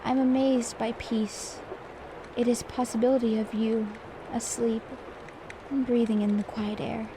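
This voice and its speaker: A woman playing a poised, particular maid servant in an ASMR roleplay, speaking softly in a formal, measured way. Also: on the high side, flat delivery, lightly acted. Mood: serene, tender. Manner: soft, formal, measured, prim. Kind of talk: soft-spoken ASMR roleplay with poetry reading. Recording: clear background ambience noise.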